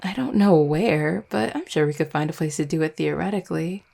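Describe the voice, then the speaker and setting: Vampire girlfriend for roleplay audio, played by a woman: lowish, slightly creaky voice, affectionate, caring, gently teasing. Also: fairly quick. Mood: relaxed, affectionate. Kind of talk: intimate roleplay audio, soft conversational acting.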